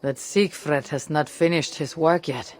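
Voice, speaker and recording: An actress playing a resolute, seasoned Viking raider in a video game, with a low, husky voice and a measured, commanding manner. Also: female voice. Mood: grave. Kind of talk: video game cutscene acting. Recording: loud cinematic score behind.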